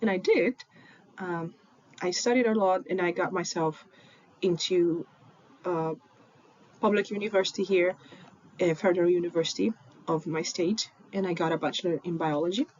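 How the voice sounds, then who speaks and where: A woman's voice: fairly low pitch, moderate pace, long pauses, a little mellow. A young woman from Brazil with a Brazilian accent, Portuguese her first language, telling her own story in an earnest way with frequent ums. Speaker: PhD student in genetics. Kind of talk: personal story presentation. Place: home in Fortaleza, recorded remotely. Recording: dull lo-fi recording with faint noise.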